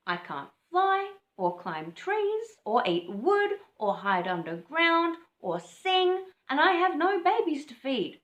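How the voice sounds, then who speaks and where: A woman's voice: high-pitched, echoey room, dull audio, voice pushed up. Cobber, a woman doing a glum big bird. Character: big clumsy flightless male bird, glum.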